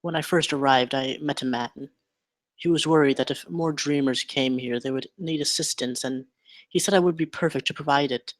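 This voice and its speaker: A man acting an educated, melancholy doctor in a script table read, speaking in a formal, measured, articulate way. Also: fairly high pitch, no room sound, lightly acted. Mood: solemn, reminiscing. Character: educated melancholy male doctor, dreamy captive scientist.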